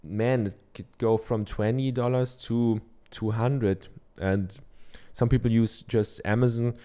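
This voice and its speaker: German-accented man, dark smooth voice. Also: mid-range voice, steady tone, unhurried. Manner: loose and chatty, many ums and tag questions. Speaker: podcaster. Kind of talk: casual how-to talk. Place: treated home office. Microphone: dynamic studio microphone.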